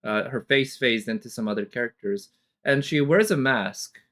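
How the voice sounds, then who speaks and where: A woman's voice: a bass voice, normal speed, a bit bright. A woman streamer giving casual reaction commentary, speculating and thinking aloud as the thoughts come. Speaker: streamer reacting to a game trailer. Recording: music behind the voice. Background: game trailer music.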